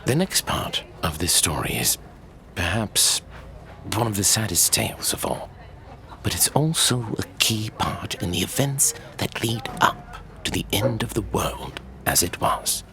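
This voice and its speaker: An actor playing a scholarly archivist in a fantasy audio drama, telling old legends in a theatrical, savoring manner. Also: male voice, lowish voice, big pitch swings, a little slow, background noise. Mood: solemn storytelling. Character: scholarly male Lord Archivist, fond of drink, recounting sad legends.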